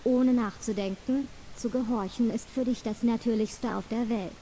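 A woman reading a scripted hypnosis induction in a slow, repetitive, soothing cadence. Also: fairly high pitch, fairly even intonation, warm tone, a little hiss or hum, poor audio.

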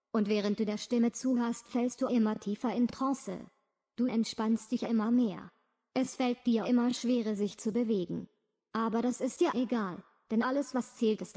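A woman reading a slow, soothing, repetitive guided hypnosis script. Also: fairly high pitch, warm tone. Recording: long reverb.

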